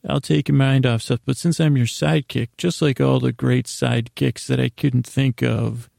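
A man hosting a bedtime podcast in a meandering, halting monologue with a gravelly voice, often interrupting himself. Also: medium pitch, steady tone, darkish tone, dry recording, clean studio sound. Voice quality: gravelly, creaky. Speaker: podcast host. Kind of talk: rambling bedtime monologue to help listeners sleep.

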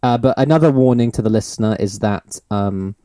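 A man's voice: fairly low pitch, fairly quick, mellow, dry recording, clean studio sound. A man, hosting a podcast in a rambling, teasing, laugh-filled chat. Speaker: podcast host.

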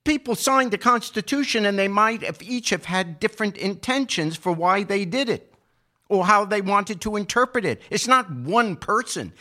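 A man giving political commentary as a podcast co-host, explaining his points in an emphatic, argumentative way with deliberate phrasing. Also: high-pitched, bright, forceful tone.

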